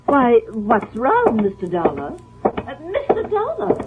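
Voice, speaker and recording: A gushing society mother in a vintage radio play. Her high voice swoops with genteel, doting drama. The narrow recording has clear background noise. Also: wildly swooping pitch, slow pace, full character voice. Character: doting, fluttery society mother, middle-aged. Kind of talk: old-time radio drama acting. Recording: noisy, tinny old radio recording.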